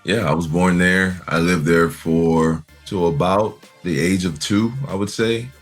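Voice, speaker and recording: Very deep-voiced young man, easygoing. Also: fairly even intonation, measured pace, faint music behind. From Nigeria. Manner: easygoing, laughs often, many fillers. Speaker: interview guest. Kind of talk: relaxed conversational interview.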